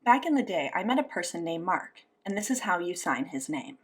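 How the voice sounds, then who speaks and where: A woman's voice: lowish voice, sing-song, fairly quick. A woman gives a spoken interpretation of a signed interview, working as an interpreter. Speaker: sign language interpreter voicing an interview.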